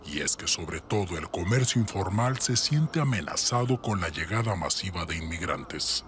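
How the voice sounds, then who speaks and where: A man narrating a scripted news report in a measured, formal way. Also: sits low, animated, a bit bright, slight background noise.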